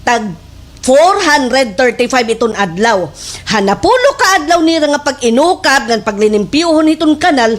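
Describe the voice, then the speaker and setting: A woman plays a gossipy village sidekick in a slapstick radio comedy, speaking with a Waray accent. Her voice is high and swoops up and down as she eggs her friend on with exaggerated emphasis. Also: moderate pace. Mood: explaining. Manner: animated, exaggerated, emphatic storytelling.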